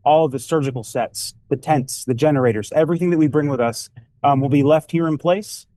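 A man's voice: a little high, brisk, warm tone, dry recording. A man, a charity director, giving a practical briefing.

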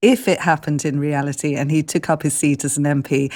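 A woman's voice: low-pitched, fairly even intonation, a little fast, darkish tone. A woman radio journalist talking through the news in a conversational, chatty and explanatory way. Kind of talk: conversational news briefing with presenter.

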